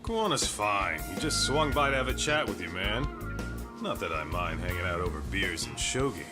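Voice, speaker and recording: A man's voice: deep voice, animated, moderate pace. A man acting a brash, easygoing tough-guy detective buddy in an English-dubbed game cutscene, blunt, slangy and jovial. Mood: relaxed. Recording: music behind the voice.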